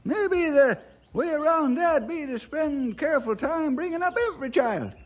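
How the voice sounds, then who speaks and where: An actor playing an excitable, homespun old cowboy sidekick with a folksy American Western drawl, in 1960s radio drama style. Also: male voice, very high voice, moderate pace. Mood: rueful, earnest. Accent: American West. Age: older. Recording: dull vintage radio recording.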